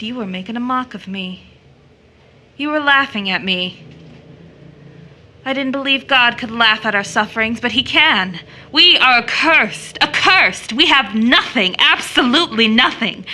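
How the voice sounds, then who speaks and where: An actress playing an anguished, bitterly defiant young woman in an audio drama, with impassioned, halting theatrical delivery. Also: on the high side, slight background noise. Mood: anguished despair. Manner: impassioned, halting, swinging from hushed to fierce.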